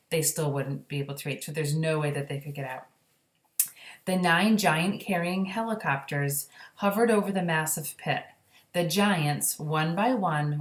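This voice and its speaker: Woman reading a storybook in an echoey room. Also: low-pitched. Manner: explanatory, conversational asides. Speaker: read-aloud storyteller. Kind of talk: storybook read-aloud with explanatory asides to children. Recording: roomy indoor echo.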